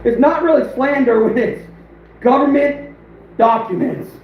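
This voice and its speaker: A man hosting a casual livestream, reacting to viewers, his voice going hoarse. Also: very high-pitched, a little slow, slight background noise. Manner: laughing, reacting to chat. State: losing his voice. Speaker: livestream host. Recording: echoey room, dull lo-fi stream audio.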